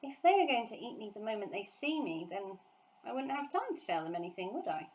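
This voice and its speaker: A woman's voice: on the high side, sing-song, a little fast, a little mellow, muffled recording. Lady doing a small storybook orphan girl, plaintive and curious, very up and down. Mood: pleading, insistent. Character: small orphan girl, earnest, anxious and inquisitive.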